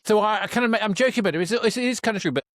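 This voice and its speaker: A man's voice: high voice, rapid-fire, fairly bright tone, no room sound, clean studio sound. A man hosting an interview in a casual, chatty and emphatic way. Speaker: podcast host, interviewer.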